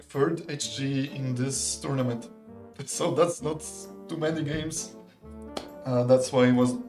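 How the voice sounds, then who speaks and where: Man casting a strategy game. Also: medium pitch. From Poland. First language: not English. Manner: relaxed, chatty, laughs often, analytical. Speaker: esports caster. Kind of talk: live game commentary.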